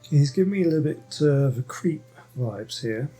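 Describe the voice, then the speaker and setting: A man casually reacting to a game story, with halting, off-the-cuff remarks. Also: on the high side, lively intonation, warm tone, faint music behind. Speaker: gameplay commentator.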